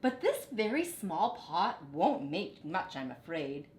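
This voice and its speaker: A woman voicing a wise, kindly old male monk from a storybook in a measured, gently emphatic way. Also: on the low side, sing-song. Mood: gently instructive. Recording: roomy echo, faint background noise.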